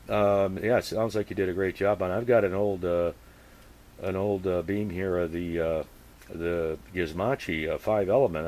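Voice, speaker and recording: A man chatting casually as a radio operator, using procedure words and speaking haltingly as he thinks aloud. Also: deep voice, darkish tone. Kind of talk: casual two-way radio chat with call-and-response procedure words.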